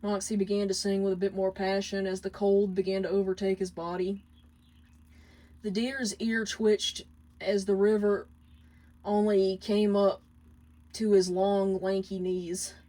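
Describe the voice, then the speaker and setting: A woman reading fan fiction aloud as a dramatic, deliberate story narration. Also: medium pitch, level tone, warm tone, poor audio.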